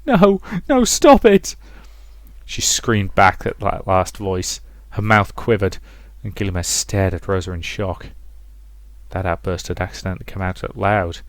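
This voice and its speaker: Low-voiced man narrating dramatically. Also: flat delivery, measured pace, a bit bright, no room sound. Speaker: let's play narrator.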